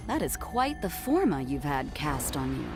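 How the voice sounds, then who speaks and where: A woman's voice: low-pitched, big pitch swings, normal speed, over music. Posh-talking vampy noblewoman for a game, playful n teasing, voice swings all over. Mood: playful, confident. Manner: teasing, theatrical, elegant phrasing. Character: haughty, playful, predatory noblewoman with a taste for blood. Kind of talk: video game cutscene acting.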